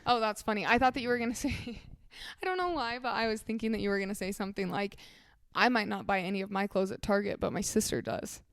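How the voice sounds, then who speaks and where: A bubbly young woman co-hosting a podcast, quick to agree and tease. Her voice is smooth and clear at a mid pitch. It sits close and dry on the mic. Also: fairly bright tone, dry recording. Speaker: podcast co-host. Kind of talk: casual chat.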